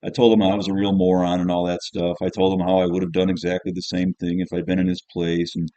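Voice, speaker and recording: Man narrating as jaded teen boy, deep relaxed voice, grumbling, colloquial, offhand, audiobook storytelling. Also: fast talker, smooth, dry recording, natural voice. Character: jaded, cynical teenage schoolboy narrator, polite to teacher. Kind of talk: first-person audiobook narration.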